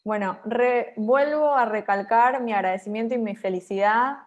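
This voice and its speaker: A woman's voice: on the high side, highs cut off. Earnest woman hosting a call. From Argentina. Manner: earnest, pausing with fillers. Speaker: conference host. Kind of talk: virtual conference discussion. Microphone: video call.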